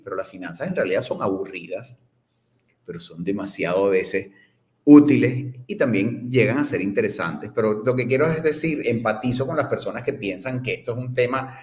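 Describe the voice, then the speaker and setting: Man with a Venezuelan accent, earnest guest speaker, medium pitch, roomy echo. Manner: earnest, encouraging, emphatic. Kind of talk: online panel conversation.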